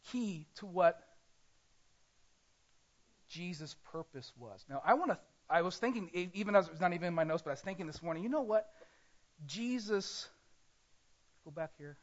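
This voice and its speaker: A male pastor preaching a sermon in a conversational way, often pausing and correcting himself. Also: on the high side, dull audio.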